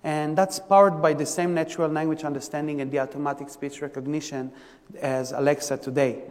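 A man from Israel with an Israeli accent, giving a conference tech talk in a halting way, full of fillers and restarts. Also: fairly high pitch, level tone. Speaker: technical presenter. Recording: long reverb of a lecture hall.

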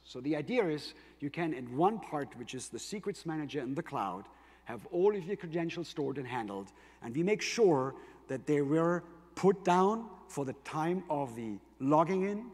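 Reverberant hall, male tech presenter, German accent, slightly high husky voice, explanatory delivery. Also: darkish tone. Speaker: conference presenter for a tech company.

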